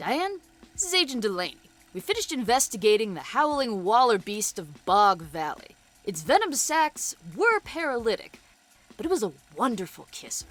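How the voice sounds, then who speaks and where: Quirky, high-pitched, animated female agent. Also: normal speed, faint music behind. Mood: deadpan earnest. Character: earnest, quirky female agent dictating reports. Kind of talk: comic audio drama trailer.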